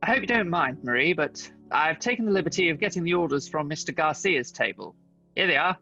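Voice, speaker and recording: Courteous undercover detective played by a man for radio comedy: earnest, bright voice, dull recording with music. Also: on the high side, lively intonation, a little fast, gravelly, full character voice. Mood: composed, courteous. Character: polite undercover detective posing as waiter, also narrating. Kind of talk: comic radio-play acting with narration.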